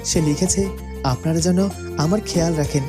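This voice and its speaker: A woman's voice: lowish voice, a little fast, music in the background, lightly acted. A woman playing a kind, dutiful young prince who speaks politely and earnestly in a fairytale cartoon. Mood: glad, respectful.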